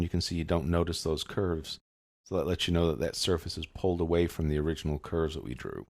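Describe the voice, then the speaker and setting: A man's voice: low-pitched, vocal fry, dry recording, studio quality. A man narrating an instructional screencast in an informal, step-by-step explanatory way. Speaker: software instructor.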